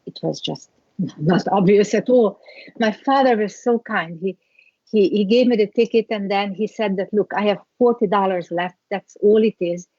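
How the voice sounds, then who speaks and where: A woman's voice: medium pitch, dark tone, no echo. Woman, Hungarian accent, middle-aged, reflective storyteller, dry muffled sound. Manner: reflective, halting with many restarts, laughs lightly. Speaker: guest, skincare founder. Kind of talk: interview storytelling.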